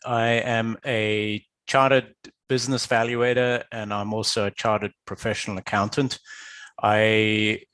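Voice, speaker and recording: A man from Zimbabwe, a guest expert, answering interview questions in a measured, explanatory way with some hesitation. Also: on the low side, flat delivery, a bit bright, smooth. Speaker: guest expert, business valuator. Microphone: video call.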